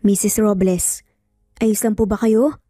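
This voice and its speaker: A woman's voice: medium pitch, fairly even intonation, measured pace, warm tone, dry recording, studio quality, faint music behind, natural voice. A woman voicing a principled, determined female lawyer who speaks firmly and sincerely in an audio story. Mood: reassuring.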